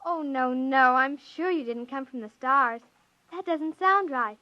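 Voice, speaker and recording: A woman's voice: sits high, unhurried, dry recording. A woman playing an earnest, sweet but stubborn young daughter in 1950s radio drama, with an innocent, plain-spoken childlike manner. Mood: earnest, reproachful. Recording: dull vintage 1950s radio recording.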